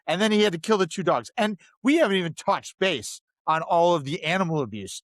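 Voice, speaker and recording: A man's voice: high voice, animated, fairly quick, dry recording. A man co-hosting a casual film discussion podcast, talking emphatically and repeating phrases for stress. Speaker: podcast co-host.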